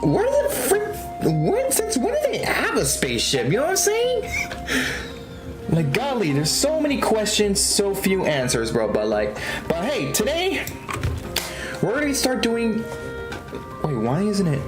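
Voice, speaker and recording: A woman's voice: mid-range voice, wildly swooping pitch, a little slow, loud music behind the voice. A woman livestreaming a game in casual, slangy, rambling chat with frequent exclamations. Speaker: livestream host, gamer.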